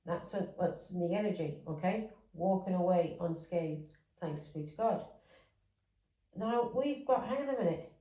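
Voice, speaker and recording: Mellow-voiced English woman reading tarot cards. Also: on the low side, a little slow, echoey room, distant mic, poor audio. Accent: English with Irish touches. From England. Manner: rambling, repeats words, chatty asides. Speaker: tarot reader. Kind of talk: casual tarot reading monologue.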